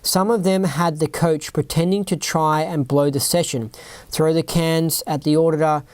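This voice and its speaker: Aussie podcaster reading out a story, keeps wandering off into tangents, mid pitch, dry close sound. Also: fairly even intonation, normal speed, a little mellow, no room sound. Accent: Australian. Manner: rambling, keeps asking "right?" and "you know what I mean?". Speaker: podcast host. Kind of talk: reading a written account aloud with off-script asides.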